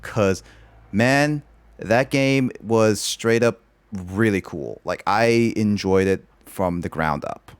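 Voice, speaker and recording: A man's voice: lowish voice, slow pace, clean tone, no echo. A man chatting casually on a livestream, rambling and filling his talk with "like". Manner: rambling, loaded with "like" fillers.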